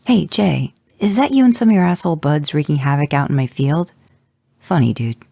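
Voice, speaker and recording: A man's voice: fairly high pitch, lively intonation, normal speed, creaky, dry recording, clean studio sound, pitched above the performer's own voice. A man reading fan fiction, voicing a young man who leaves a joking voicemail that turns frantic. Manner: rising panic, loud outbursts.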